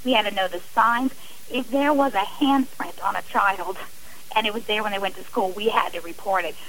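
A woman's voice: mid-range voice, moderate pace, a bit bright, a little hiss or hum, low-quality recording. A middle-aged woman guest on a radio interview, speaking earnestly and from the heart about her own experience, often restarting her sentences. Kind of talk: radio interview, personal account.

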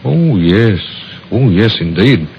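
An actor playing a smooth, over-cooperative murder suspect in an old-time radio mystery, polite, glib and at ease. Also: male voice, lowish voice, expressive, slow, full character voice. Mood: smoothly compliant. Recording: muffled, noisy vintage radio recording.

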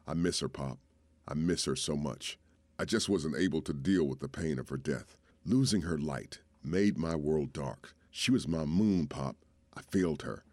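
A man voicing a remorseful young former soldier who speaks haltingly to his father. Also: a bass voice, darkish tone, no echo. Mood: ashamed, sorrowful.

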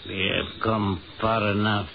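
An old, embittered Russian prisoner in vintage radio horror. His low voice drags very slowly, brooding and halting, in terse repeated phrases. The old broadcast recording is muffled. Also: flat delivery, a little hiss or hum. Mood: grim, menacing. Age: older. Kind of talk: 1940s radio horror drama acting.

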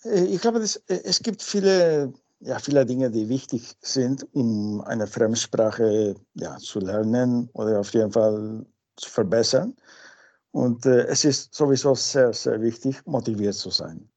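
Slow, halting man with Peruvian Spanish accent. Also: mid-range voice, darkish tone. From Peru. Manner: halting, searching for words. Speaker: interview guest. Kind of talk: informal interview answers in a learned language. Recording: dull lo-fi sound.

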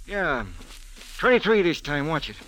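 A man's voice: on the high side, big pitch swings, a little slow, pushed and bright, a little hiss or hum, exaggerated voice, rough recording. An actor playing a slangy, distracted and evasive small-time gambling henchman in 1940s radio style.

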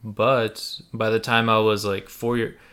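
A man from the United States whose first languages were Russian and Ukrainian, chatting casually on a podcast. He speaks in a low, fairly flat voice. He pauses often and fills the gaps with "uh" and "like.". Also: smooth. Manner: hesitant, many fillers. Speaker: podcast co-host. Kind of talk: casual conversation.

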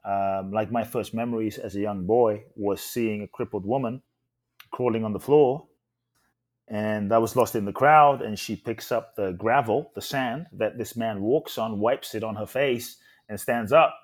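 A man's voice: on the low side, measured pace. A man raised in London, a guest speaker on a podcast, telling his life story in an earnest and reflective way. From Ghana, raised in London.

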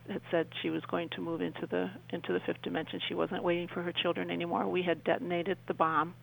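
A woman's voice: lowish voice, creaky, low-quality recording. A woman speaking earnestly and emphatically as a guest in a radio interview, often repeating phrases.

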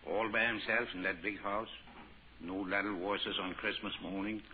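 A man's voice: lowish voice, fairly even intonation, normal speed, natural voice. An actor playing a genial Swedish immigrant family man with muddled English and a Swedish accent, in 1940s radio comedy style. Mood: sentimental, genial. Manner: muddled idioms and grammar. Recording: tinny old radio broadcast, faint noise.

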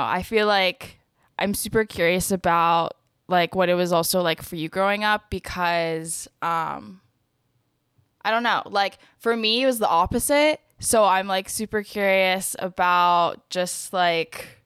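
A woman host with a smooth, slightly low voice asks her guest curious, winding questions, hedging with fillers as she goes. Her voice sounds close and dry. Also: measured pace, fairly bright tone, no room sound. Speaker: interviewer. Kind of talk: casual interview questions.

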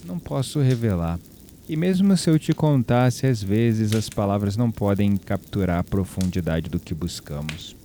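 A man playing a calm, mysterious ritual guide with a Brazilian accent in an audio drama, speaking instructively. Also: on the low side, normal speed, a little hiss or hum. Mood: calm, enigmatic.

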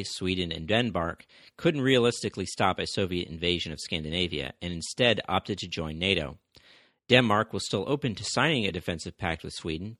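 A man's voice: sits low, fairly bright tone, no room sound, studio quality. A man hosting a history podcast, reading a scripted narration steadily, with the odd stumble and restart. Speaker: podcast host.

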